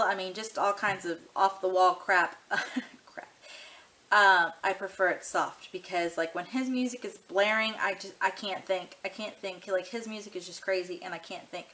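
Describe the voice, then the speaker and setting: Chatty, bright-voiced woman in her thirties. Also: medium pitch. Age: 30s. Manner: chatty, rambling, many ums and you-knows; easygoing and frank. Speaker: vlogger doing a tag video. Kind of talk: casual chat to camera.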